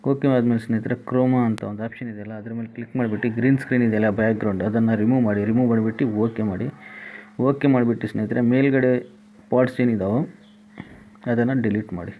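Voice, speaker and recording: A man's voice: medium pitch, fairly even intonation, fast. A man giving a step-by-step app tutorial as a voice-over, repeating each instruction as he goes. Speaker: tutorial host. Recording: tinny phone-like band, faint noise.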